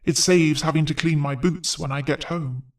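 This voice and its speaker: Woman doing a kindly, low-voiced storybook wizard man. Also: fairly even intonation, moderate pace, recorded from a distance, natural voice. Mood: matter-of-fact. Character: friendly wizard in a pointy hat, adult man.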